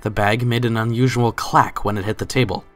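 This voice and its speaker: A man's voice: lowish voice, fairly even intonation, a little fast, fairly bright tone, faint music behind. A man giving a scripted dramatic reading as the narrator of a story.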